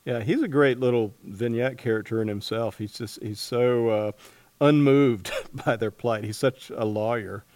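A man hosting a casual interview, speaking haltingly as he thinks aloud. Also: mid-range voice, a little mellow, no room sound. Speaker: interviewer, host.

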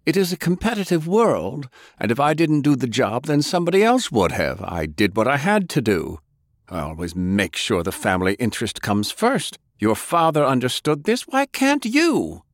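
A man's voice: mid-range voice, wildly swooping pitch, normal speed, no echo, studio quality, voice pushed up. An actor playing a proud, domineering wealthy old grandfather in an audiobook, commanding and blustering. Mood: furious.

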